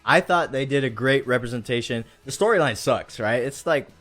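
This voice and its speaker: A man's voice: mid-range voice, expressive, fairly quick, fairly bright tone, faint music behind. A man hosting a casual live stream, talking in an informal, slangy and playful way. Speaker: host.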